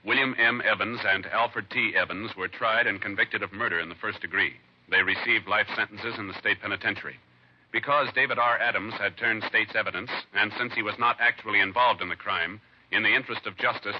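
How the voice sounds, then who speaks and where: A man with an American accent announcing in a formal, measured 1950s radio style. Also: on the low side, steady tone, slight background noise. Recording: dull vintage radio recording with music.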